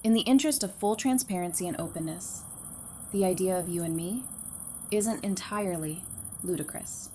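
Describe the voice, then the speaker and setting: A woman playing a sincere, warm and teasing female survivor in an audio drama. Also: lowish voice, moderate pace, slight background noise, natural voice. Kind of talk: fan audio drama acting.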